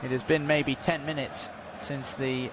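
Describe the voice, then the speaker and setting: A man giving unofficial live commentary on a football match, calmly filling a stoppage with updates and statistics. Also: medium pitch, noisy background. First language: not Dutch. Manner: measured, informative, filling time with stats and updates.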